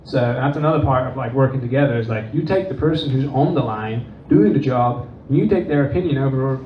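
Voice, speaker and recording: A man with a Dutch accent, giving an animated conference talk and acting out quoted dialogue. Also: medium pitch, brisk. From Netherlands and England. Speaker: conference presenter. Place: conference room. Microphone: distant room recording. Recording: distant, roomy, dull with faint noise.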